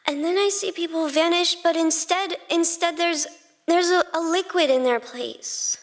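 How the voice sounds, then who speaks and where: Tiny lonely little boy, acted by a woman, extremely high voice, meek, stammering, nervous, audio drama. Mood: troubled, hesitant. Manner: halting, timid, stammering. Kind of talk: dramatized fan fiction reading.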